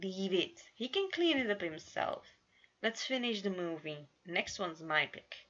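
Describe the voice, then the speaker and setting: A woman's voice: medium pitch, very animated, unhurried, fairly bright tone, lo-fi sound. A woman voicing a guarded, anxious young man in a story reading, dry and terse. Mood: dismissive.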